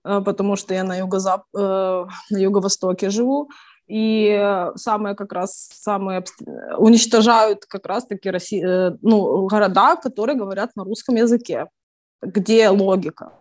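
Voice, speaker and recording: Young woman, video-call interviewee, earnest and halting, middle pitch. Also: fairly even intonation, a little mellow. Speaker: evacuated interviewee.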